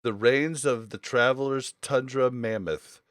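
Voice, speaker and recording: A man hosting a casual gaming podcast, talking informally and thinking aloud in halting phrases. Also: medium pitch, a bit bright, no echo, clean studio sound. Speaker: podcast host.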